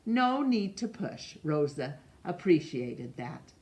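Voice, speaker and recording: A middle-aged woman reading a picture book aloud in a measured, expressive way for children. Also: low voice. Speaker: storytime reader. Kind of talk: read-aloud storybook narration. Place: small room indoors.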